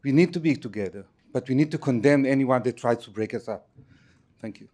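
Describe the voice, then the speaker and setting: Earnest man, halting speech. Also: mid-range voice, warm tone. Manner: earnest, halting, non-native phrasing. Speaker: resident public commenter.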